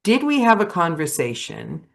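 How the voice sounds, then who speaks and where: Low-voiced woman hosting a chat. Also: very animated, moderate pace, gravelly. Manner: chatty, searching, thinks aloud. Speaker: podcast host and audiobook narrator. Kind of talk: casual conversational interview. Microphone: video call.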